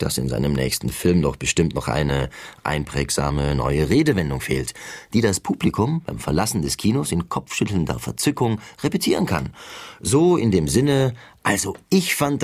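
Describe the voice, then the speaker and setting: An eccentric male interviewer with a low, husky voice that swings widely in pitch. He speaks earnestly and pedantically, in long wordy turns, as in a satirical radio play. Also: a little mellow, heavily acted. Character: chatty eccentric man coining silly sayings as interviewer. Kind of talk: scripted satirical dialogue.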